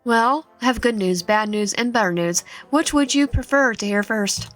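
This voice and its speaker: A woman's voice: a little high, normal speed, a bit bright, natural voice. A woman voicing a jolly uncle who owns a toy shop in a visual novel, in a cheery manner. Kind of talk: visual novel character read aloud. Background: background music.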